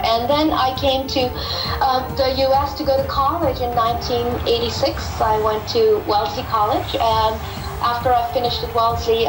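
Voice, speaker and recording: A middle-aged woman from Pakistan, a physicist answering interview questions thoughtfully and pausing to repeat words as she forms her ideas. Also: fairly high pitch, roomy sound, rough recording.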